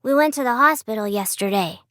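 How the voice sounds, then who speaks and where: A woman's voice: a little high, brisk, sharp tone, dry recording, clean studio sound. A woman voicing a small, dutiful boy who gives short, plain, childlike answers in a storybook reading. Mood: matter-of-fact.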